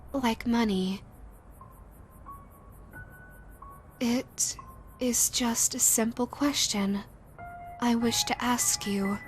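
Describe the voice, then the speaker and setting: A woman playing a female yokai ghost in an audio roleplay, theatrical and deliberate, menacing yet easily flustered. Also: on the high side. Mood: eerily polite. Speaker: voice actress. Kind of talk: audio roleplay, character speaking to listener.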